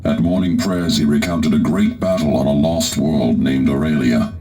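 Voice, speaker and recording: A man playing a grave, troubled warrior medic in a video game cutscene, speaking in a solemn, weary, deliberate way. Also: rumbling low, fairly even intonation, warm tone, soft background music. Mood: somber, troubled. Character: grave, troubled armored warrior medic confessing dark secrets.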